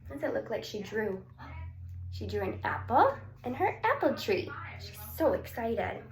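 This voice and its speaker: A woman's voice: on the high side, fairly bright tone. A woman reading a picture book aloud to young children, drawing out words and putting questions to her listeners. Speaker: story reader for young children. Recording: roomy echo, some background noise.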